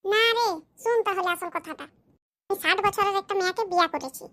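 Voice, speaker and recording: A performer voicing a boastful, scheming village man in a cartoon, with a smug, slow, explaining manner. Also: very high voice, fairly bright tone. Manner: smug, bragging, explains his schemes slowly. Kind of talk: cartoon comedy voice acting.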